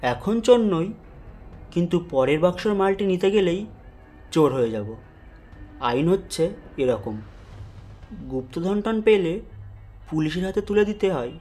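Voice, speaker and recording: A stern young uncle acted by a man lays down the rules in a suspense audio play. His voice sits a little high for a man, firm and lecturing. Soft music plays underneath. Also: lively intonation, measured pace, pauses often. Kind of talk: Bengali audio drama acting.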